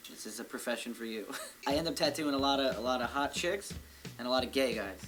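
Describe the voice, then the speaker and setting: A man's voice: mid-range voice, expressive, fast talker, noisy background. A man casually telling stories in an interview as a tattoo artist. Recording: guitar music behind the voice. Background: guitar music.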